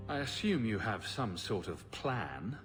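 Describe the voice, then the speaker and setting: A man's voice: on the low side, sing-song, a little slow, faint music behind. A man voicing a brash, sarcastic and impatient adventurer hero in a video game, with a blunt way of talking. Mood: skeptical.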